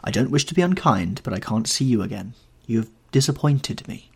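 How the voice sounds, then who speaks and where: A man voicing a cruel young gentleman who coldly scorns his lover, in a bitter, disdainful way. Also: mid-range voice, expressive, fairly quick, creaky, slightly rough, heavily acted. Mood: scornful.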